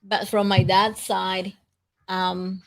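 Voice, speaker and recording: Middle-aged woman from El Salvador, flat even tone, soft-spoken storyteller. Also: medium pitch, slow, gravelly. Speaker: guest, mother of a guest. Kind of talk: casual interview storytelling.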